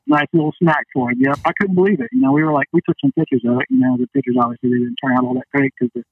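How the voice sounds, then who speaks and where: Guy calling into a podcast, lively swoopy voice kinda high, folksy storyteller, muffled phone sound. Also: racing, vocal fry, no room sound. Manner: chatty, folksy, peppered with "you know". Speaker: guest, fishing charter captain. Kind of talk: casual storytelling interview chat. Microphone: phone call. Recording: dull band-limited phone line.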